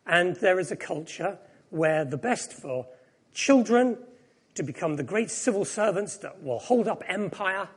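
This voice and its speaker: A man's voice: high-pitched, lively intonation, unhurried, slightly rough. A middle-aged man, born in Japan, giving a reflective, self-deprecating personal sermon. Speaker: preacher.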